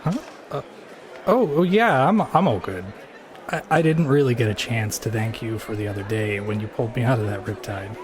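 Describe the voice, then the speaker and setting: A man's voice: on the low side, moderate pace, crowd noise, close to an everyday voice. An actor playing a nervous, awkward but friendly young man in an audio drama, stammering bashfully.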